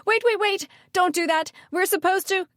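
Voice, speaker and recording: A woman's voice: moderate pace, fairly bright tone, no echo, studio quality. A woman voicing a frantic female subordinate in an audiobook, screeching and pleading. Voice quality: screechy, high.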